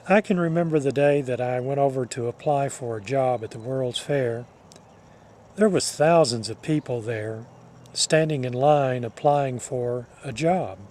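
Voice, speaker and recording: An older man telling a personal reminiscence to listeners, speaking in a measured, deliberate way with halting phrasing. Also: mid-range voice, darkish tone. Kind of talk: personal reminiscence told to an audience.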